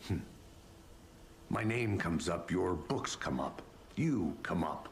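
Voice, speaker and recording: An actor playing a gruff, sardonic, middle-aged FBI agent in a cinematic video game. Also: male voice, low voice, animated, slow, slight background noise, exaggerated voice. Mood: brooding. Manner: sardonic, accusing, terse.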